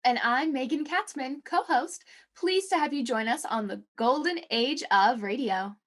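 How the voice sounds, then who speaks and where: A woman's voice: sits high, measured pace, fairly bright tone. A woman co-hosting a radio variety show, theatrical and playful, in scripted 1940s announcing style.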